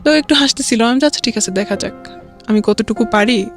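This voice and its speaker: A young adult woman with a Bangladeshi accent tells a personal story as an interview guest, rambling and halting, often repeating filler phrases. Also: a little high, soft background music. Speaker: guest telling own life story.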